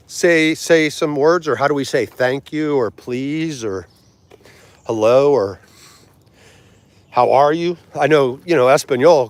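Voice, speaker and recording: A man's voice: mid-range voice, no echo. A man, hosting a casual livestream, laughing easily and chatting with viewers. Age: 40s. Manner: chatty, laughs easily, tries foreign words slowly. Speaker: livestream host.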